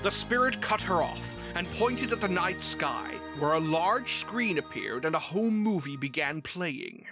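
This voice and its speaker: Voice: mid-range voice, lively intonation, measured pace, hardly any pauses. A narrator reading a scripted fan fiction story aloud. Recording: music behind the voice.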